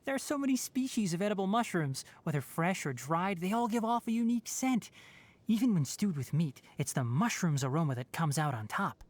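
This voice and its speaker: A man's voice: high voice, sing-song, fairly quick, no room sound. An actor playing a young male forest ranger with fox ears and a tail, composed, wry and knowledgeable, in video game voice lines. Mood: calm wry. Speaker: game character.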